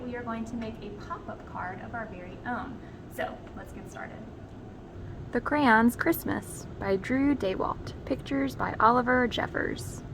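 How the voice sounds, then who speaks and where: Higher-voiced woman reading a children's storybook. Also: noisy background. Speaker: read-aloud presenter. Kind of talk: expressive children's storybook narration.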